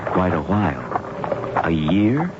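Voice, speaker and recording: An actor playing an earnest, friendly but awkward male doctor in a radio drama, halting, apologetic and inquisitive. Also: low-pitched, very animated, exaggerated voice. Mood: flustered, sympathetic. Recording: muffled, noisy old radio recording.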